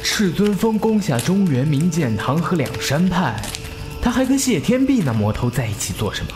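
A man voices a puzzled, anxious beggar-clan follower in an audio drama. His pitch jumps about expressively. Music is clearly heard behind him. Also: very animated, normal speed. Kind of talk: Mandarin audio drama acting.

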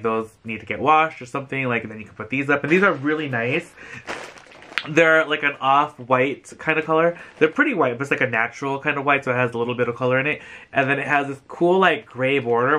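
A man in his thirties, from the Philippines, whose first language is Tagalog, chatting in a bubbly, animated way about thrift finds from his living-room sofa. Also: medium pitch. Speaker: vlogger. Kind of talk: casual thrift haul chat.

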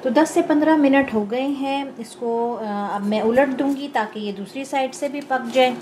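A woman with a slightly high voice hosts a home cooking lesson. She explains each step in a calm, patient way and speaks straight to her viewers. A soft background hum sits under her voice. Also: normal speed. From Pakistan. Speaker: cooking show host. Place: home kitchen.

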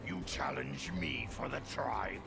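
Gravelly savage monster warrior. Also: male voice, low-pitched, very animated, slow, full character voice. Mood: menacing. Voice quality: gravelly, growling. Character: savage male monster warrior, snarling and menacing. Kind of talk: fighting game character dialogue. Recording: dramatic game music behind.

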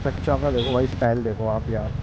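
Sarcastic young man vlogging over loud road noise. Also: mid-range voice, fairly even intonation, fairly quick, highs cut off. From northern India. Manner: sarcastic, teasing. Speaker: moto vlogger. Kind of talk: casual running commentary while riding. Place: outdoors on busy city streets, riding. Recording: noisy outdoor road and vehicle noise.

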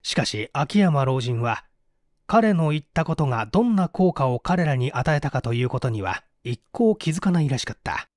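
A man narrating a detective story as an audiobook, with theatrical, deliberate phrasing. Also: fairly high pitch, lively intonation, darkish tone, dry recording, studio quality. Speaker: audiobook narrator, stage actor.

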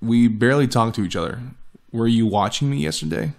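A man's voice: medium pitch, expressive, a little fast, vocal fry. A man giving a comic dramatic reading of text messages, with sardonic, exasperated delivery. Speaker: host and voice actor. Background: background music.

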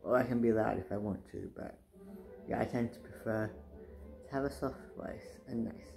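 Young man, soft deep voice, gravelly, hesitant rambling chat on a couch, camera close by, faint music behind. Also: normal speed. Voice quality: soft-spoken, says his voice is soft and deep. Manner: rambling, hesitant, many fillers and restarts. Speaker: booktuber. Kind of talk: casual chat. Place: living room, indoors, sitting on couch.

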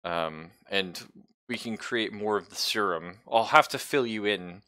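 A man's voice: on the low side, unhurried, bright, forceful tone, clean tone. A man casually narrating an online tabletop game as game master, in a joking, loose way.

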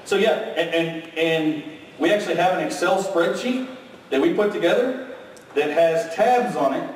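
A man's voice: fairly high pitch, normal speed, slight background noise. A male conference presenter giving a technical keynote, talking energetically and checking in with "okay?" and "right?" as he goes. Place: large hotel conference room with audience. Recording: very echoey ballroom from far off.

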